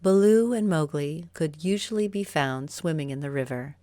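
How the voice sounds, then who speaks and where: A woman reading a scripted children's bedtime story with expressive, careful phrasing. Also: sits low, moderate pace, dry recording. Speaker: storybook narrator.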